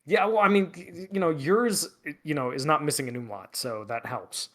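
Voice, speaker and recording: A man's voice: fairly high pitch, normal speed. Rambling male podcast co-host. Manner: rambling, hesitant, filler-heavy. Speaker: editor-in-chief, podcast co-host. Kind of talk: casual podcast chat.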